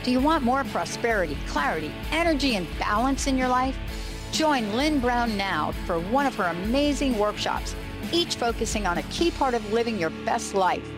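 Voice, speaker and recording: A woman's voice: fairly high pitch, expressive, normal speed. A woman announcer reading a scripted radio advert. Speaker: promo announcer. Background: music.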